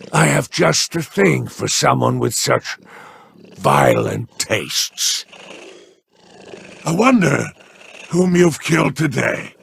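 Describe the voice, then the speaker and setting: An actor playing a sly, scheming male merchant in a video game, talking in a gravelly, silky, menacing salesman's drawl. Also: mid-range voice, fairly bright tone, slight background noise, heavily acted. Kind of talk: video game character lines.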